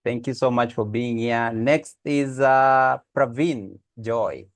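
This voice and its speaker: A man's voice: mid-range voice, steady tone, slow, no echo. A man hosting an online meeting and greeting participants, with many fillers as he talks. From Kenya. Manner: friendly, hesitant with many uh fillers. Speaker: host of online office hours. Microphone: computer mic on a video call.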